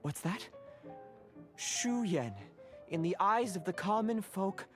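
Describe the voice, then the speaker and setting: A man's voice: sits high, expressive, slow pace. A devoted, pompous male assistant from a video game. His formal, reverent delivery rises and falls grandly, with faint music behind it. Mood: indignant.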